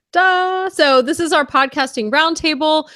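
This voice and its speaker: A woman's voice: sits high, slow pace, sharp tone, no room sound, studio quality. Bubbly woman moderating an online panel. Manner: bubbly, upbeat, laughs often. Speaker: moderator and host. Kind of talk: hosting an online roundtable.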